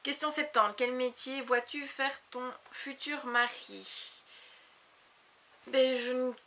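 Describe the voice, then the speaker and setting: A woman's voice: high-pitched, steady tone, slow pace, bright, forceful tone. A woman, chattily reading out questions and answering them in a casual vlog. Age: late 20s. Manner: reads each question aloud, then answers chattily. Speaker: YouTube vlogger.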